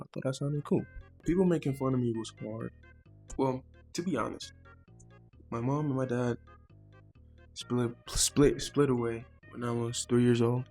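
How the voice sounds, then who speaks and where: A man's voice: medium pitch, gravelly, a little mellow. A teenage boy from Mexico talking casually to camera as the host of his own vlog, chatty and laid-back, with frequent fillers and repeated words. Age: teens. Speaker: vlogger host.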